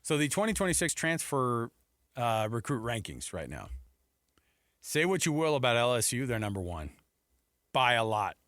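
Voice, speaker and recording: A man's voice: mid-range voice, sing-song, moderate pace, pauses often, a bit bright, dry recording, clean studio sound. An American man co-hosting a sports talk show, chatting informally and rambling through his points with conversational asides.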